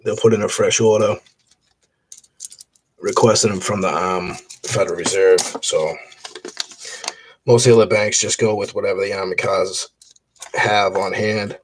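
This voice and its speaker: A man's voice: lowish voice, fairly even intonation, normal speed, pauses often, low-quality recording. A man chatting casually in a live stream while sorting coins, rambling with many fillers and restarts. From Boston area. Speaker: coin roll hunter streaming.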